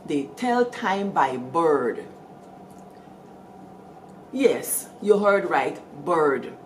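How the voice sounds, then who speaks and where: A middle-aged Guyanese woman hosting, telling village stories in a lively, animated way and calling out to her listeners. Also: sits low, drawn-out words, slight background noise. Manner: lively, animated storytelling with call-outs to listeners. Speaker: storyteller host. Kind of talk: storytelling talk.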